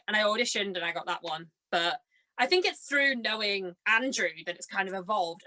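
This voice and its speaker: A young adult woman chatting casually and telling anecdotes in a bubbly, excitable manner, often saying "like". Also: on the high side. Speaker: guest, amateur voice actor.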